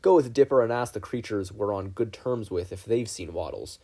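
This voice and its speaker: A man reads the part of an older adventurer great-uncle in a storybook reading. He is firm and commanding, giving orders in a husky voice. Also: fairly low pitch, animated, normal speed, mellow. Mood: reassuring.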